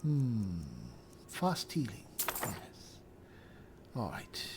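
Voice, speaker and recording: A man thinking aloud in character as he plays a roleplaying game, measured and deliberate, often muttering to himself. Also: medium pitch, sing-song, background noise. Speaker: gamer narrating a roleplay playthrough.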